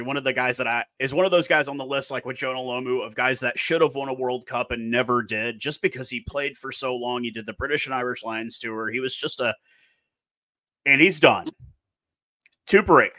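A man in his thirties hosts a casual chat through a headset mic, his voice bright and sharp. The sound is close and dry. He talks in an animated way from a small room. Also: medium pitch, no room sound. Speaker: host. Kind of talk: casual video call chat.

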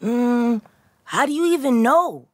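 Sketch comedy teen, voiced by a woman: skeptical, hesitant, deadpan, very unhurried, dry close sound. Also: fairly high pitch, no room sound, pitched above the performer's own voice. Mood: doubtful. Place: podcast studio. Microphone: close studio microphone.

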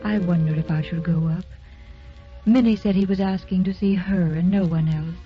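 A woman's voice: lowish voice, fairly even intonation, normal speed, slightly rough. An actress playing an anxious, kindly married woman in an old radio drama. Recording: dull old radio recording, faint music.

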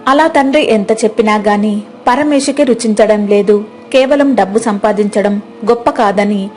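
A woman telling a moral storybook tale in a clear, gently persuasive voice that sits a little high. Light background music runs under her narration.

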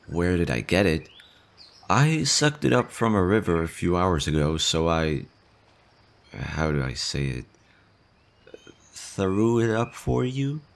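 Nervous male slime monster, played by a man, stammers, anxious, low voice, soft birdsong behind. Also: vocal fry, exaggerated voice. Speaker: voice actor. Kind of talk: audio roleplay.

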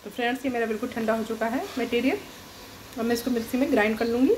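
A woman with a fairly high voice talks through a home recipe in a casual, step-by-step way, addressing her viewers as friends. She is recorded by the stove, with clear frying and sizzling noise behind her. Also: quick pace, low-quality recording. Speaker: home cook presenting a recipe. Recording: sizzling pan noise over the voice. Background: loud sizzling and frying food.

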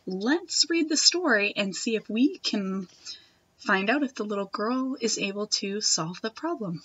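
A young woman reading a picture book aloud to children in a gentle, expressive manner. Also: medium pitch, a little slow, lo-fi sound, slight background noise. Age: young adult. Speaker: storytime reader. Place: wood-panelled room at home.

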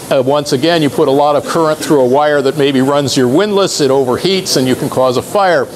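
A middle-aged man presenting a live talk to sailors in a club room, explaining things in an unhurried way with a few restarts and fillers. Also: fairly high pitch.

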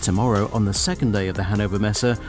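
Man, scripted voice-over, measured formal read, deep voice. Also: steady tone. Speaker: narrator. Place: studio voice-over. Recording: voice-over over loud music. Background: music.

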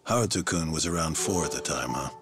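An actor playing a grave, composed man in a video game drama, speaking in a measured, deliberate way. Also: very deep voice, expressive, over music. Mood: grave, controlled. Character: grave, composed man revealing hidden camera footage.

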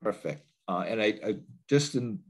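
A man's voice: fairly low pitch, lively intonation, normal speed, breathy edge. A man with a bit of a cold, giving a training webinar from a home office over a laptop video call, talking in loose sentences with many fillers and restarts. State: stuffy with a cold. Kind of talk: webinar presentation.

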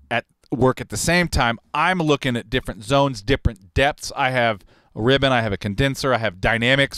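A man in his forties, a recording engineer and host, talking in a relaxed, rambling, technical way in a studio control room on a broadcast mic. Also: mid-range voice, no echo. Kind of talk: casual livestream talk on recording. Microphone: large broadcast mic on arm, headphones on.

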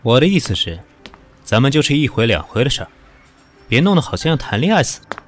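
A man acting in an audio drama as a cool, sharp-tongued professional who is weary and dismissive of his pushy lover. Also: medium pitch, big pitch swings, quick pace. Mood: cold, dismissive.

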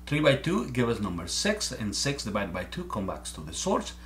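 A man's voice: medium pitch, huge pitch swings, moderate pace, a little hiss or hum. Earnest man with a Mexican accent. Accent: Mexican Spanish. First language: Spanish. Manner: earnest, repeats words while thinking. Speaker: amateur math presenter. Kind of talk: explaining step by step to camera. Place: small room at home, indoors.

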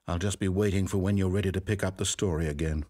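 A man's voice: low-pitched, steady tone, fast, warm tone, no echo, studio quality. An actor playing a smug, mock-polite male narrator of a video game, speaking with sardonic theatrical wit. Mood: wry.

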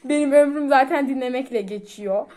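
High-voiced expressive young woman. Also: fairly quick, crowd noise. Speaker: vlogger reacting to cartoon songs. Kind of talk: casual reaction chat. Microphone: phone on camera.

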